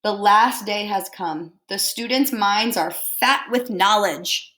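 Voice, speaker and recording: A woman teacher reading a picture book aloud to children, expressively and deliberately, pausing to put simple questions to them. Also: medium pitch, pushed and bright. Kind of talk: storybook read-aloud with questions to young listeners.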